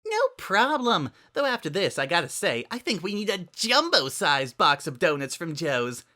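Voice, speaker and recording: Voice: medium pitch, big pitch swings, moderate pace, bright, forceful tone, pitched above the performer's own voice. A performer voicing a bubbly, cheerful young party girl with a chirpy, eager, bouncy delivery. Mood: bubbly, unapologetic.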